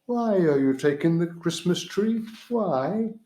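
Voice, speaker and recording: A man's voice: high voice, animated, slow, dark tone, pitched above the performer's own voice. A man voicing a tiny, innocent two-year-old girl in a storybook, plaintive and puzzled. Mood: innocent puzzlement. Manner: plaintive, questioning. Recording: roomy, echoing home recording.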